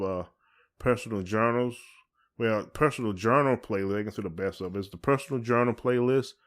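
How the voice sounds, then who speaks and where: A man with a Southern US accent chatting casually as a podcast co-host, in a folksy, joking way. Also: on the low side. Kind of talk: casual chat.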